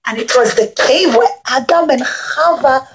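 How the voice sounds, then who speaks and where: A woman's voice: high voice, a little slow. A woman storyteller presenting a puppet show for young children, speaking in simple short phrases and addressing them directly.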